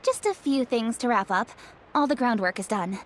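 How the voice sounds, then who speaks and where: A woman's voice: a little high, lively intonation, normal speed, a little hiss or hum, close to an everyday voice. A woman playing a composed, diligent female official in a video game, courteous and formal. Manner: poised, formal.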